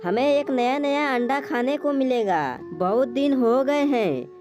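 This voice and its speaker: A woman voicing a sly, greedy male crow in a cartoon moral story, smooth-talking with false friendliness. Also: high voice, unhurried, over music. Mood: scheming, gleeful.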